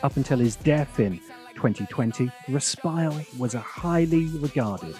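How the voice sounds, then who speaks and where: A man narrating a scripted video essay in a sardonic manner, with dramatic pauses. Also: medium pitch, lively intonation, mellow, soft background music.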